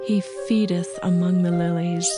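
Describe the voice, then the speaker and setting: An actress playing a lovesick young bride in a dramatized scripture reading, speaking in a measured, poetic, earnest way. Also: female voice, on the low side, fairly even intonation, music in the background, close to an everyday voice. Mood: adoring. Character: lovesick young bride longing for her beloved.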